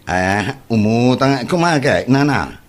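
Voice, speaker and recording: A man's voice: medium pitch, expressive. A man with an Ilocano accent playing a boastful, broke braggart in a comedy audio drama, stammering through his excuses. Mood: sheepish, stalling. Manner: halting, hesitant, full of drawn-out stammers.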